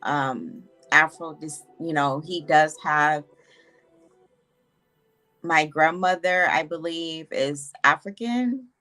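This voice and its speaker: Woman from Honduras, young adult, slow, reflective, candid, video call. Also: low voice, sharp tone, faint music behind. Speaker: guest. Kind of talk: casual personal storytelling.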